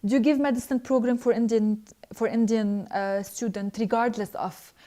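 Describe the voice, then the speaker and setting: A young woman, a scholarship alumna, reading out viewer questions and answering them earnestly in a live Q&A, with frequent hesitant pauses. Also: on the high side, steady tone, dark tone.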